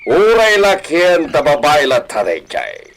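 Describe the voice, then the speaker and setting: A man's voice: fairly high pitch, wildly swooping pitch, measured pace, heavily acted, poor audio. A man with an Ilocano accent playing a commanding, scheming village don in a comedy radio drama.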